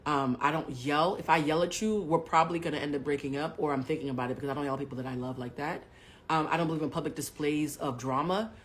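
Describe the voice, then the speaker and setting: A woman in her forties hosting a livestream, telling a personal story bluntly and with plenty of profanity, swinging between hushed asides and loud bursts. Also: sits low, fast talker. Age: 40s. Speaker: livestream host. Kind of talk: candid storytelling to a live audience.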